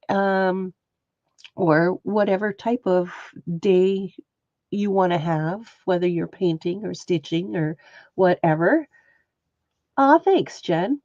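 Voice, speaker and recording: Stuffed up lady chatting slow on a craft stream, mellow voice, dull lo-fi audio. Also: fairly low pitch, no room sound. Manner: chatty, greets viewers by name. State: stuffed-up, sniffly nose. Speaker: livestream host and textile artist. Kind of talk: casual livestream chat while showing stitching.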